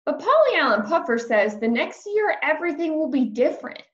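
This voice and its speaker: A woman voicing an excitable, brash kindergarten girl from a storybook, chatty and emphatic with childish grammar. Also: sits high, fairly quick, echoey room, dull audio, pitched above the performer's own voice. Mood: unsure, chatty.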